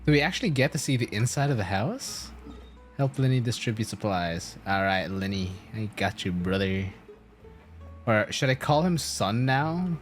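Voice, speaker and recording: A game streamer giving casual, slangy, excitable live commentary while playing. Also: mid-range voice, normal speed, soft background music.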